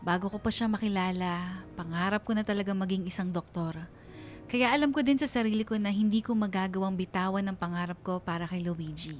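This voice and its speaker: A woman's voice: medium pitch, a little fast, soft background music, natural voice. A woman playing a young woman who narrates her own story of love and dreams in a radio drama. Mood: wistful.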